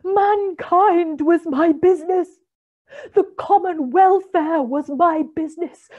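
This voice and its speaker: A woman's voice: very high voice, slow, narrow, tinny audio, pitched above the performer's own voice. A woman voicing the anguished ghost of an old businessman, weighed down by chains, wailing and drawing out her words.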